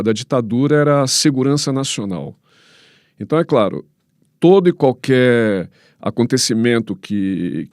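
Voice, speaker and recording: Low-pitched Brazilian man, halting professor. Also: a little mellow, no echo, studio quality. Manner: halting, repeats words, frequent tag questions. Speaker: professor, panel guest. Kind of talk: explanatory interview answers.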